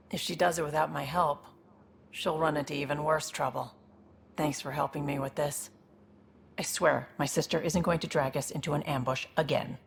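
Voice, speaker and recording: Low, gravelly protective sister. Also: female voice, a little hiss or hum. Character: protective, worried woman, exasperated older sibling. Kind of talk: video game voice acting.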